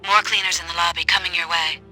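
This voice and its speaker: A woman's voice: lowish voice, fairly even intonation, quick pace, sharp tone, soft background music, rough recording. An actress playing a cool, secretive, self-assured woman on the run in a noir video game, speaking in terse, matter-of-fact lines. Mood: urgent, businesslike. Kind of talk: scripted game dialogue. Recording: faint background noise, ambience.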